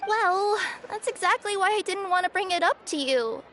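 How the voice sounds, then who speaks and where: A woman's voice: very high-pitched, animated, moderate pace, fairly bright tone, a little hiss or hum. A woman voicing a young cat yokai courier, bubbly, sheepish and apologetic, in a video game. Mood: reluctant. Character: young female cat yokai courier with two tails, cheerful and flustered. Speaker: delivery courier character. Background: game music.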